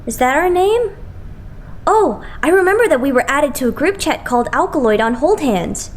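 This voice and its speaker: A woman voicing a blunt, excitable, skeptical young idol in an anime fandub. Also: sits high, normal speed. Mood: puzzled. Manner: blunt, expressive. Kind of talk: anime game fandub acting.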